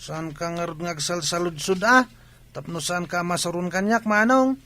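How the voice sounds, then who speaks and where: High-voiced cheeky Filipino village boy. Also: moderate pace, pushed and bright. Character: cheeky, inquisitive village boy visiting his grandparents. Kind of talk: comedy radio drama acting.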